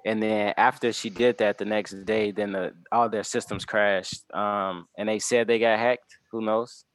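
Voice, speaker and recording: Low, close-sounding young man, casual. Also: fairly even intonation, measured pace, no echo. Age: mid 20s. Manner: slangy, checks listeners follow. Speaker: podcast co-host. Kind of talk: casual explanation and discussion.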